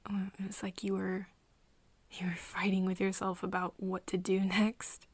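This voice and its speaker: A woman playing a caring, flustered young woman in an ASMR roleplay, with a soft, breathy voice and a tender, stammering manner. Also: mid-range voice, completely flat delivery, normal speed, dry recording. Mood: tender, hesitant. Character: caring, flustered young woman soothing her vampire friend.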